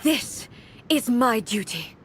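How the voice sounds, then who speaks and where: A solemn ruler goddess from a video game, speaks slowly and formally. Her voice is a little high and grave in manner, measured and resolute, with a faint rumble of noise behind it. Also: sing-song. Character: solemn, resolute female ruler deity swearing an oath. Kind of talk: scripted video game voice acting.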